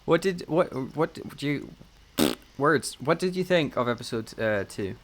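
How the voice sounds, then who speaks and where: A man's voice: fairly high pitch, expressive, a little slow, a bit bright. Jokey man podcasting over background noise. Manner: jokey, self-deprecating. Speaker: podcast co-host. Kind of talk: casual chat, joking review.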